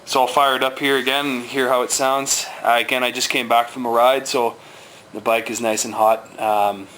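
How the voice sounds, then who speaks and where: A man's voice: mid-range voice, flat delivery, moderate pace, a bit bright, a little hiss or hum, low-quality recording. A man giving a casual, plain-spoken hands-on how-to commentary in informal, slangy language, as a hobbyist showing his own work. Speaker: motorcycle owner demonstrating a DIY exhaust swap.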